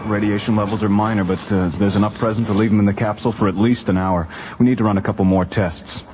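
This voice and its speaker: Actor as a fast, low-voiced space-station medic. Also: male voice, steady tone, a little mellow, natural voice. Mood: matter-of-fact, reassuring. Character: reassuring male space-station medical colleague. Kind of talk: radio drama acting. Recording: muffled, noisy radio recording.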